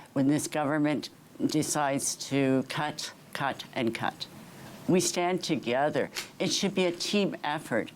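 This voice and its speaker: A woman with a notably low voice speaks earnestly, stopping often on fillers as she answers. Her replies come in plain, sincere bursts. A faint room hum sits behind her. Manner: earnest, hesitant with many fillers. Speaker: school trustee candidate. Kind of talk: candidate interview answers.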